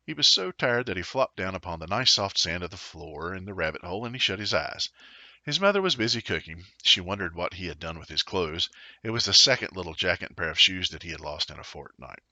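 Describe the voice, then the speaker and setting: Male storyteller for a children's tale: low pitch, very bright and forceful, playful dramatic emphasis. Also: dry recording, highs cut off. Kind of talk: expressive children's storybook narration.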